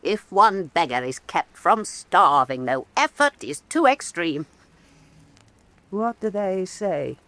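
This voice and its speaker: An actress playing a proud, intense woman painter in a radio drama, declaiming with scorn and dramatic pauses. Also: lowish voice, sing-song, a bit bright, no echo, full character voice. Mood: restless, insistent. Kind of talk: theatrical radio drama acting.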